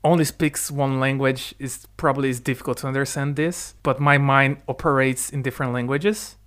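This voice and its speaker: Animated man, bright voice. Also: mid-range voice, moderate pace. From Brazil. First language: Portuguese. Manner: animated, talks in restarts and asks "right?" often. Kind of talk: casual conversation. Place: lives in the UK.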